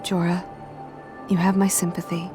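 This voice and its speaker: An actress playing a kind, earnest human heroine in a video game, speaking in a caring way. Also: female voice, on the low side, level tone, moderate pace, natural voice. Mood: concerned. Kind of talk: video game cutscene acting. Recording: music behind the voice.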